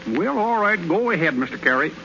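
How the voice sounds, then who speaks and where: A cocky rookie pitcher, high bright voice, lively 1930s radio delivery, muffled old broadcast. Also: male voice, brisk, slight background noise, exaggerated voice. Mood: boastful. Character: boastful, excuse-making rookie baseball pitcher, young man. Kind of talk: 1930s radio drama acting.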